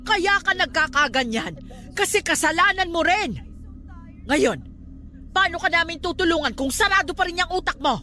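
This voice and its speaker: Stern, superstitious aunt with swooping voice. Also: female voice, very high-pitched, lively intonation, moderate pace, bright, forceful tone, a little hiss or hum, full character voice. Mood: scolding. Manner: scolding, emphatic. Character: stern, superstitious, scolding middle-aged Filipino aunt. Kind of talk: radio drama acting.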